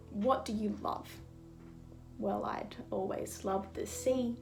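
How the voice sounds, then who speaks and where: A woman performing slam poetry in a rhythmic, theatrical storytelling delivery. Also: a little high. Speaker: slam poet. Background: music.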